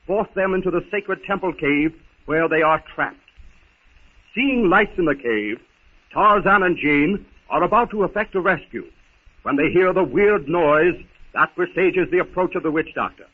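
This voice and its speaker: A man's voice: fairly high pitch, expressive, normal speed, husky. A man narrating a 1930s radio serial as its announcer, dramatic and formal. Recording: tinny, noisy 1930s radio recording.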